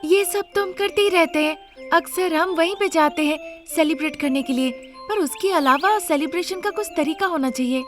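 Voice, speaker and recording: A woman's voice: very high-pitched, moderate pace, faint music behind, pitched above the performer's own voice. A woman voicing an eager, persuasive young celebrity daughter-in-law in an emphatic, pleading way, in a story. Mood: insistent.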